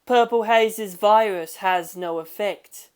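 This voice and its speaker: A woman's voice: medium pitch, slow, smooth, close to an everyday voice. A confident young gangster played by a woman narrator in an audio story. He states things assertively and plainly, with cool self-assurance.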